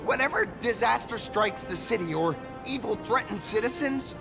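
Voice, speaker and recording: A man voicing an excitable, starstruck young masked hero in an anime-style video game, earnest and humble, stammering when flustered. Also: high voice, moderate pace, gravelly, over music. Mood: earnest, proud.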